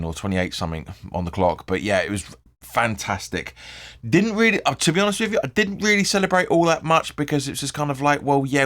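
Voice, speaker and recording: A man with a hoarse, croaky voice hosting his own fan channel, rambling through post-match thoughts, correcting himself and swearing freely. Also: medium pitch, fairly bright tone. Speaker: football fan channel host. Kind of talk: casual post-match video commentary.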